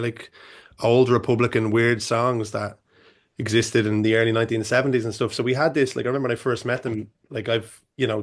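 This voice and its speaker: A man, a band manager answering on a music-industry panel, talking loosely with many restarts and fillers. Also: mid-range voice. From Ireland. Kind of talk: panel discussion.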